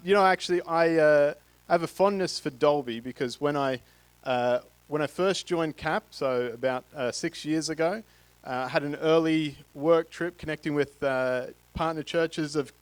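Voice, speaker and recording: An Australian man in his thirties speaks to a congregation from a church stage, close on a handheld mic. His voice sits a little high and comes through smooth and resonant in a dry sound. He stumbles along with many ums and repeated words. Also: no echo. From Queensland, Australia. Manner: halting, many fillers and restarts. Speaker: guest speaker from a charity.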